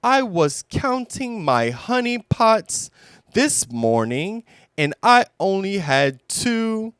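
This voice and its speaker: Cheerful, generous male bear for a children's storybook, done by a man; high, smooth, earnest. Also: sing-song, takes it slowly, a bit bright, dry recording, clean studio sound, exaggerated voice.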